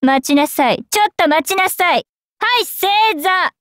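A woman's voice: very high-pitched, animated, unhurried, a bit bright, no echo, full character voice. A commanding young noblewoman from a game. She scolds in an imperious, haughty manner, close and dry on the mic. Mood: stern, threatening. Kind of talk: game character acting.

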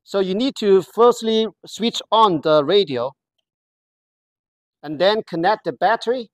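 A presenter gives a product walkthrough in careful, halting, non-native English, pausing often to find words. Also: mid-range voice, no room sound. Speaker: product demonstrator.

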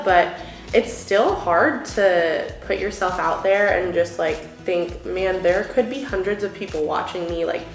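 Young woman doing a grwm vlog, a bit sniffly, kinda low voice, echoey room with soft music. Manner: chatty, filler-heavy, musing about worries. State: a little sniffly. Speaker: beauty vlogger. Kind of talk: casual get-ready-with-me chat. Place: indoor room with couch.

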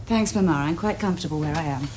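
A woman's voice: sits low, fast, a little mellow, natural voice, poor audio. An actress playing a self-assured, coy young Victorian society lady with an upper-class English accent. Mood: coolly polite.

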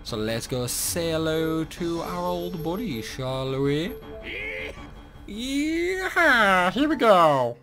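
A man's voice: a little high, big pitch swings, drawn-out words, sharp tone, faint music behind. A young man casually commenting on his own game playthrough, chatty and self-deprecating. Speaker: gameplay channel host.

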